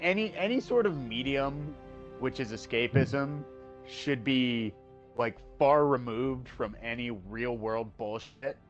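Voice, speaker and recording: A man's voice: medium pitch, a bit bright, lo-fi sound. A man ranting on a casual gaming stream, swearing a lot and restarting his sentences over and over. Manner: ranting, profane, many fillers and restarts. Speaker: co-host.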